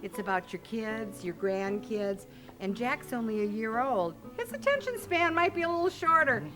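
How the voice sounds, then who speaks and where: A woman's voice: a little high, expressive, moderate pace, fairly bright tone, poor audio. An older woman hosting, chatting casually and coaxingly around a children's story reading. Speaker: host. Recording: music playing behind the voice.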